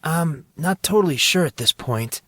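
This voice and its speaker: A man's voice: on the high side, lively intonation, moderate pace, a bit bright, no echo, clean studio sound, voice pushed up. A man voicing an awed, uneasy teenage boy with a hesitant, questioning manner in an audiobook. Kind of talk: audiobook character dialogue.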